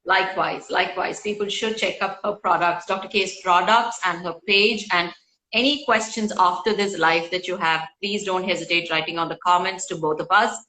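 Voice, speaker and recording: A woman from India, a guest doctor speaking in a measured, polite way in a live online interview over a video call. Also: lowish voice, fairly even intonation, sharp tone, rough recording.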